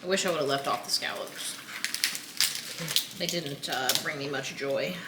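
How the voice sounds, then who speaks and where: A woman's voice: low voice, moderate pace, very noisy. A young woman chatting casually while eating, with a dry, teasing humor. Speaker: co-host, wife. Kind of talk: casual mukbang chat.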